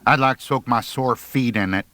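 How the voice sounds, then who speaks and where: Man voicing a sullen teenage girl, slightly raised pitch. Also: normal speed, pushed and bright, dry recording, natural voice. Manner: curt, sarcastic. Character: cute, sullen, sharp-tongued teenage girl.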